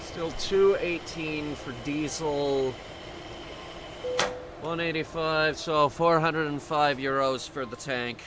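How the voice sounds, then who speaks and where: A man's voice: on the high side, steady tone, takes it slowly, noisy background. A man streaming a driving game, chatting casually, who stutters and restarts his sentences. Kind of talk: casual chat while playing a driving game. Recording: loud background music.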